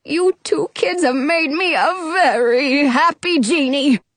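A woman voicing an obnoxious old male genie in a storybook, with a theatrical, excitable manner. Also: high voice, slow, no room sound, voice pushed up, full character voice. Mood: tearful, gushing gratitude. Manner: gruff, sarcastic, theatrical exclamations. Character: grumpy, obnoxious old male genie turning gushingly joyful.

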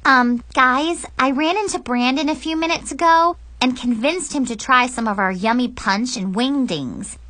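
A woman voicing an excitable, awkward, eager-to-please teenage girl with a gushing, hesitant manner in a storybook narration. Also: high voice, moderate pace, few pauses, no echo, pitched above the performer's own voice. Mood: nervous coaxing.

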